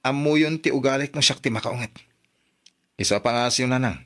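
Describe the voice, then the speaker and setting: Exasperated Ilocano actor as a grown son. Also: male voice, medium pitch, normal speed, a bit bright, lightly acted. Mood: protesting. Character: exasperated grown son protesting to his mother. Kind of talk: Ilocano radio drama acting.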